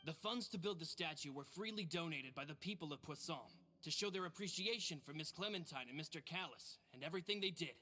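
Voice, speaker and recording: An actor playing a polite, loyal young aide in a video game, courteous and earnest. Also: male voice, fairly high pitch, expressive, quick pace, hardly any pauses, bright, forceful tone. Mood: respectful. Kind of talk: scripted video game acting.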